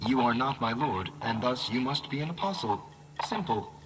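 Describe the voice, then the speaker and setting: A man's voice: medium pitch, expressive, moderate pace, background noise, lightly acted. Man as a lilting, courteous clockwork automaton. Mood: cheerful, earnest. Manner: formal, courteous, quaintly precise. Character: polite, servile ancient male clockwork automaton, quaint and earnest. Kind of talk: video game character dialogue. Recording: game music over the voice. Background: loud game music.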